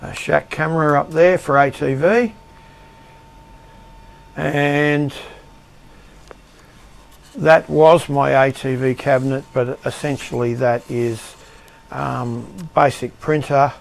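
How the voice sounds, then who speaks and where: A man giving an unscripted show-and-tell of his projects as an amateur radio operator, speaking in a halting, matter-of-fact way. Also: medium pitch, fairly even intonation, vocal fry. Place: indoor home radio shack.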